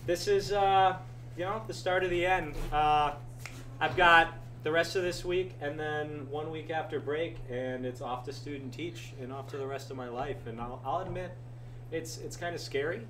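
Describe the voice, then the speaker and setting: A man's voice: a little high, moderate pace. A young man in his twenties hosting a live show, rambling and laughing often as he answers questions and thanks the crowd. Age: 20s. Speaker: podcast host. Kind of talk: live show Q&A and farewell speech. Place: live show venue with audience. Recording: echoey room, loud background noise.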